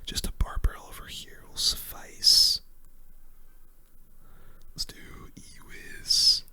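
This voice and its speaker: A person giving casual, slangy, mildly grumbling gaming commentary in a breathy ASMR whisper. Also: takes it slowly, pushed and bright. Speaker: gaming video host.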